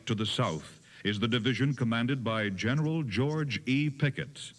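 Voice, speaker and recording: A man narrating a dramatized history tour in a solemn, measured, scripted storytelling style, on an old cassette recording. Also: medium pitch. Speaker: narrator of a battlefield audio tour. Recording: noisy 1980s cassette tape.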